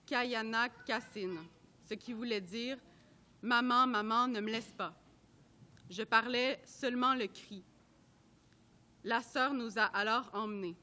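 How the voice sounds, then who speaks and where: A woman reading a report aloud at a public event, in a measured, careful way that sometimes trips over names. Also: a little high, bright, forceful tone. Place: art gallery at a live event.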